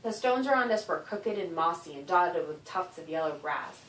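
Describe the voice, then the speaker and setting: Woman reading a kids book out loud, lowish voice but super expressive, echoey, bit far from mic. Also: normal speed. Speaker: author reading aloud. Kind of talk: expressive children's audiobook narration. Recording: roomy echo, distant microphone.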